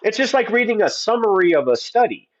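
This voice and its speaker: A man's voice: sits high, sing-song, brisk, muffled recording. A man in his forties, a guest on a livestream, talking earnestly and at length with many restarts. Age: 40s. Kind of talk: opinionated conversation.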